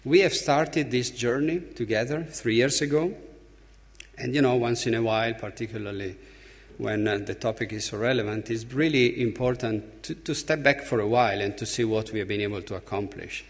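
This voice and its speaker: Male conference speaker, Italian accent, emphatic and halting, mid-pitched voice in a hall with long reverb. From Italy. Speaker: speaker at a symposium opening. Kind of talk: conference speech.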